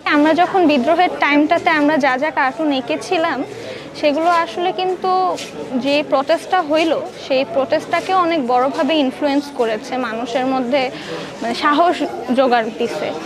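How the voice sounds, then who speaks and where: A female artist talks conversationally in an interview, in long colloquial sentences. Her high voice has a Bangladeshi Bengali accent, and crowd chatter is clear behind her. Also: moderate pace. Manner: colloquial, long flowing sentences with English words. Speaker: cartoonist interviewed. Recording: crowd chatter around speaker.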